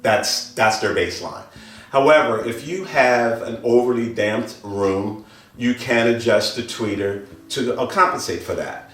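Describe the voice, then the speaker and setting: A man giving an informal product presentation as a dealer, speaking in a deliberate, halting way with repeated phrases. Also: mid-range voice, a bit bright. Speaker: audio dealer presenting loudspeakers. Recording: roomy, distant mic, faint music behind.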